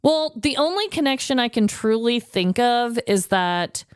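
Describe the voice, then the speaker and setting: Female podcast co-host, fairly high voice with very lively intonation, dry close recording, casual and expressive. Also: unhurried, clear, resonant tone, no room sound. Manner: expressive, reactive.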